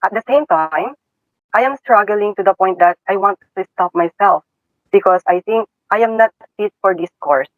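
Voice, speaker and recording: High-voiced young Filipino-accented man. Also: moderate pace, no room sound, poor audio. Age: young adult. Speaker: student podcast guest. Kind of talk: prepared personal testimony.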